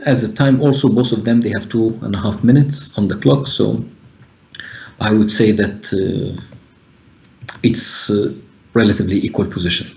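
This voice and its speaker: A man's voice: on the low side, fairly even intonation, moderate pace, vocal fry, long pauses, darkish tone, dull audio. Hesitant man commentating chess. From Egypt. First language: Arabic. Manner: thinking aloud, frequent hesitations. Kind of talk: live online chess commentary.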